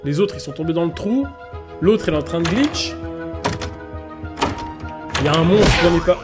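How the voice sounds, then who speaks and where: A woman streamer comments live in a casual, slangy manner. Her voice is low for a woman and her wording is blunt. Loud music plays behind her. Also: lively intonation, moderate pace. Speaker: streamer reacting to a cartoon.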